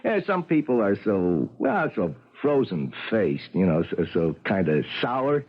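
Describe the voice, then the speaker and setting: Husky, stammering doorman. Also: lowish voice, sing-song, a little slow. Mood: friendly, chatty. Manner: stammering, rambling, repeats himself. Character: friendly, flustered apartment-building doorman, a talkative man. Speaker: actor in a radio drama. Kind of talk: 1970s radio drama acting. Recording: muffled 1970s radio broadcast recording.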